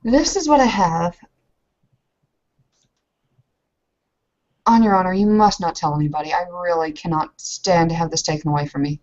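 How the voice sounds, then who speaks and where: A woman playing a proud, guarded young fighter in a tabletop game, talking in a halting, earnest way. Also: on the low side, a little mellow, lightly acted. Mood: guarded, confiding. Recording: muffled lo-fi voice recording.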